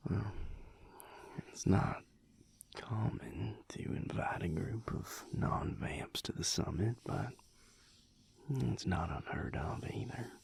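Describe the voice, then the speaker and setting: A man playing a laid-back, affectionate vampire lover in an intimate audio roleplay, with a Southern US drawl and a breathy, gravelly murmur, teasing lazily. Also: low voice, animated, slow pace, pauses often, darkish tone, in a whisper, full character voice. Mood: relaxed gossipy. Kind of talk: intimate audio roleplay, whispered pillow talk.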